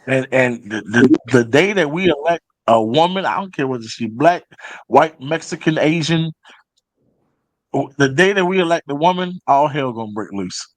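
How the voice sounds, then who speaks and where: A man's voice: on the high side, expressive, normal speed, no room sound, poor audio. A man in an opinionated, casual political chat, talking insistently and repeating words as he pushes to make his point.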